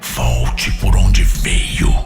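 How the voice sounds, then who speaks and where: A man playing a cold, commanding demon master in an audio drama, with slow, curt, imperious speech and a deep, echoing, processed gravelly voice. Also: heavily acted. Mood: contemptuous, menacing. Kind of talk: dramatic audio-series acting. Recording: loud music or effects behind.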